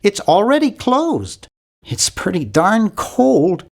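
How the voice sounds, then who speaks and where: A bookish young nerd from an adventure game, timid and polite. His nasal voice swings widely up and down in pitch, and he sounds awkward, fussy and earnest in every line. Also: wildly swooping pitch, slow, vocal fry, full character voice. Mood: hesitant, observant. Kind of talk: video game character voice lines.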